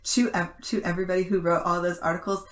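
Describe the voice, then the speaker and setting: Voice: medium pitch, level tone, quick pace. A woman co-hosting a podcast in casual analytical chat, emphatic and repeating words to stress a point. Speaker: podcast co-host.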